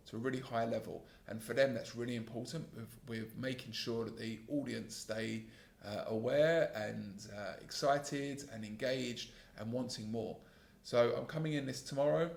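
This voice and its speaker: A man in his thirties hosting a solo podcast, talking in a calm, earnest, self-critical way about his work as a sales trainer, with a gravelly voice. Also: mid-range voice, roomy sound. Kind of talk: solo reflective podcast monologue.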